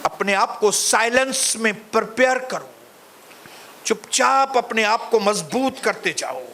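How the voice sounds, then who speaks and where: A male pastor preaching in a high, bright voice at an unhurried pace. His delivery swells into forceful calls to the congregation. Faint background noise sits under the recording. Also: gravelly. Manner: soft, low, slow in prayer. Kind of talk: sermon and closing prayer.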